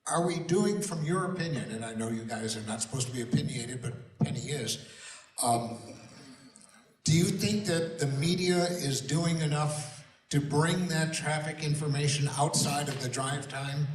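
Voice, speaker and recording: A man moderating a public panel informally, speaking in a measured, wordy, explanatory way. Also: a little high. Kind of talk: informal moderating, announcements and questions. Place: large room at a recorded public meeting. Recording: echoey room, distant mic, faint noise.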